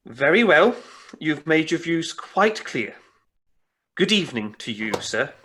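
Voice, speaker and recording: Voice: mid-range voice, very animated, measured pace, fairly bright tone, slight background noise. An actor playing an earnest, courteous charity collector in a radio play, polite and slightly hesitant. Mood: disappointed.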